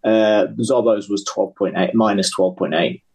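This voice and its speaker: A man's voice: fairly low pitch, measured pace, a little mellow, slightly rough. A man casually analysing football tactics and statistics as a podcast pundit.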